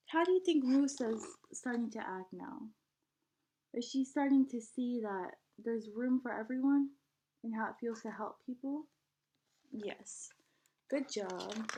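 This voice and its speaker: A woman reading a children's picture book aloud in an animated teacherly way, guiding young listeners with questions and prompts. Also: a little high, husky.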